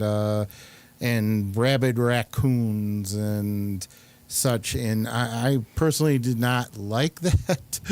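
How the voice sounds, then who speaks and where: A man hosts a casual show in a mid-range voice. He comments and asks questions informally, picking his way through sentences in a halting manner. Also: mid-range voice, a little mellow, clear, resonant tone. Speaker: show host. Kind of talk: casual studio chat and commentary.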